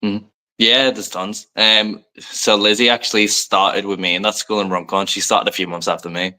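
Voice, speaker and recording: A young man from Liverpool with a soft English accent and a slight American tinge, a wrestler chatting loosely as a guest, full of fillers and self-deprecating asides. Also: on the low side, level tone, normal speed. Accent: soft English, light Americanisms. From Liverpool, England. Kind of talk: casual podcast interview.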